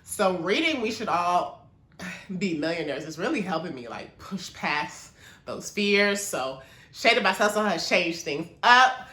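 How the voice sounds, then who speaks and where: A woman's voice: lowish voice, big pitch swings, measured pace, bright, forceful tone, roomy sound. A woman from Maryland, a small business owner, talking casually to camera in a chatty, encouraging way with lots of fillers.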